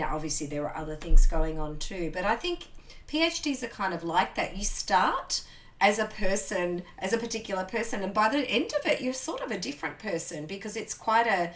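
A woman's voice: on the low side, fairly bright tone. A woman, hosting a podcast in a chatty way with many ums and restarts. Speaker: podcast host. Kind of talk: casual conversational interview.

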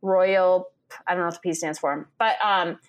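A woman's voice: on the low side, fairly even intonation, moderate pace. A young woman with a Southern American accent, co-hosting a casual chat podcast; she rambles, restarts and laughs often, and sounds keyed up. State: wound-up, nervous energy. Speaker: podcast co-host.

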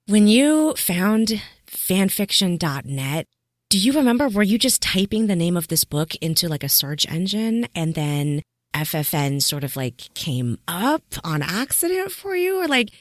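A woman's voice: mid-range voice, very animated, unhurried, no echo. Chatty woman podcast host, dry sound. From American Southwest. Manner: enthusiastic, chatty, laughs often. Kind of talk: casual interview.

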